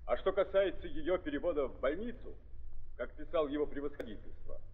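A muffled old radio recording with long reverb carries a prison warden. His voice is husky, his manner obliging and matter-of-fact, colloquial yet deferential. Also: on the high side, lively intonation, fairly quick. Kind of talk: 1930s Russian radio drama acting.

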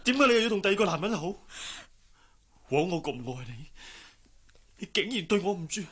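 A man with a Hong Kong Cantonese accent acting a heartbroken, possessive, hard-drinking gentleman in a radio drama, impassioned and volatile. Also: sits high, very animated, fairly quick, slightly rough, exaggerated voice. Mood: anguished, drunken. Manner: impassioned, swinging between pleading and outbursts.

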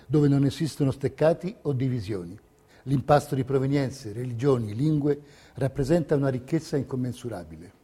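An actor playing a narrator who recounts history and memories in scripted documentary theatre. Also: male voice, mid-range voice, warm tone, husky, lightly acted. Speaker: stage actor.

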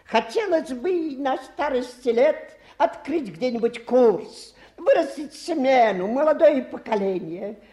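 An old travelling fakir in a radio drama, self-pitying and grand by turns. He speaks slowly with sighing, theatrical patter, and his pitch swings widely from mournful drops to excited peaks. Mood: excited, lamenting. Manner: plaintive, showy patter with sighs and grand pronouncements. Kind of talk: theatrical radio play acting.

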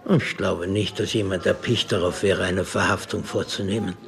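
An actor playing a shabby old anarchist bomb maker in a radio drama, speaking in a terse, measured, self-satisfied way. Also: male voice, deep voice, steady tone, gravelly, fairly bright tone. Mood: cool, smug.

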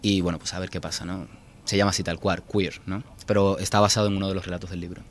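A man's voice: low voice, level tone, fast. A man with a Cuban accent, a guest author chatting on a radio show, often hesitating and repeating words. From Cuba. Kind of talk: radio interview chat.

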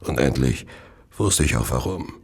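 An actor playing a drug-wrecked merchant in his fifties, a killer confessing in grave, brooding radio drama narration with a gravelly, husky voice. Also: a bass voice, expressive, a little slow, exaggerated voice. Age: 50s. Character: James Maybrick, drug-wrecked fifty-year-old merchant, confessed killer.

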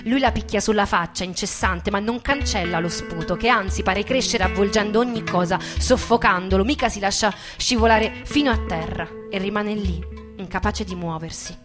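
A woman giving a dramatic, scripted stage narration with intense, measured storytelling. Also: medium pitch, over music. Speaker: actress and narrator.

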